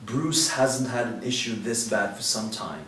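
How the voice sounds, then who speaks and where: A man reading the part of a reserved male doctor at a table read, explaining his case in a steady way. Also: lowish voice, measured pace. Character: reserved male doctor who mixes his own drugs. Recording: roomy echo, distant mic, faint hiss.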